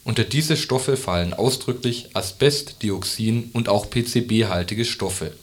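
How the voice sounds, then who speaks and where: Low-voiced man reading radio news. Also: measured pace. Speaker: news reader.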